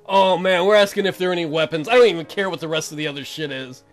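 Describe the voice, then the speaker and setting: A man's voice: a little high, quick pace, soft background music. A man giving casual, irreverent and crude joking commentary as a let's play host.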